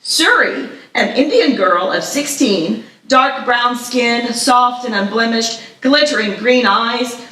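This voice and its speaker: Bright-voiced woman narrating in an echoey room. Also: fairly high pitch, animated, slow pace. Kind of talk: reading screenplay action lines aloud at live table read. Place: live festival reading in echoey room. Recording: echoey room, distant mic.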